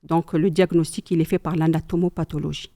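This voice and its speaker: A middle-aged woman from Algeria, a mother telling her family's story in a calm, thoughtful casual chat with pious asides. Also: deep voice, fairly quick. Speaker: mother sharing memories.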